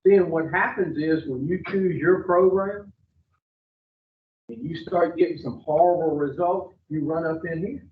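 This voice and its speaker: A man's voice: on the high side, a little slow, slight background noise. A man, bluntly questioning new clients at an addiction treatment intake. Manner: blunt, confrontational, drawling. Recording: far-off phone-like audio in an echoey room.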